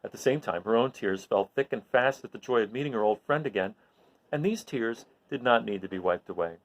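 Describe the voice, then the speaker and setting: A man reading a children's story aloud expressively, in an animated way that addresses his listeners. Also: mid-range voice, a little fast, muffled recording. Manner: animated, recapping with questions to listeners.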